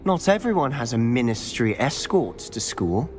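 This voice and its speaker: A British actor playing a self-assured, curious, friendly teenage wizard in a video game. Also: male voice, medium pitch, very animated, moderate pace, faint music behind, close to an everyday voice. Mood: friendly, impressed. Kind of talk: video game cutscene acting.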